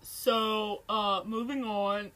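A woman's voice: mid-range voice, little pitch movement, drawn-out words, pushed and bright, rough recording. A woman co-hosting a casual podcast, chatting in a rambling, playful way as she hops between silly topics. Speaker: podcast co-host. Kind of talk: casual chat.

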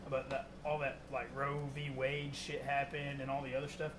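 A young man co-hosting a podcast, trading crude, excitable banter and laughing often. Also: mid-range voice, normal speed. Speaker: podcast co-host. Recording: noisy podcast recording.

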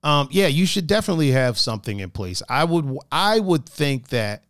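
A man hosting a casual chat show with a raspy voice, talking in a laid-back, profane, joking way and reading out chat comments. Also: a little high, very animated, normal speed, a bit bright, no room sound, clean studio sound. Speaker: podcast host.

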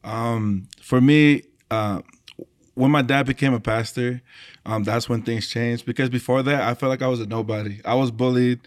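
A man's voice: mid-range voice, steady tone, normal speed. Reflective man, native Tongan speaker, telling his story. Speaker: church choir leader and worship singer. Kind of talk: personal storytelling in a casual interview.

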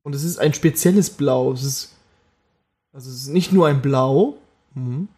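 A man's voice: mid-range voice, moderate pace, warm tone, husky. A man co-hosting a podcast, chatting casually and jokingly, often trailing off mid-sentence. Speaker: podcast co-host. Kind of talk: casual chat.

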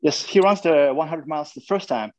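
A man interpreting for his brother, in halting English. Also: fairly high pitch, highs cut off. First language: Russian.

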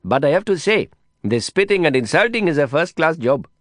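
A man voicing an eager, excitable Indian guide with an Indian accent and effusive, lilting English, in an audiobook. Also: on the high side, a little fast, no echo, studio quality, pitched above the performer's own voice. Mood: cheerful, proud. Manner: effusive, lilting non-native English phrasing. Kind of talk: audiobook character voice.

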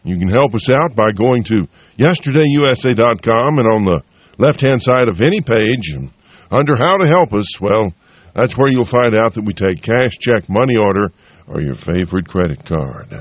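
Folksy male radio host, lively and a bit husky, dull lo-fi broadcast sound, homey drawl. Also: on the low side, moderate pace, no echo. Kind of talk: folksy on-air promo and appeal for donations.

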